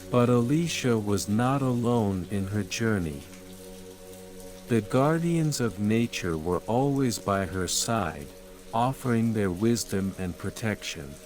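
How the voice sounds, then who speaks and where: A man narrating a scripted bedtime fairytale in a measured, soothing manner. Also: on the low side. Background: rain and calm music.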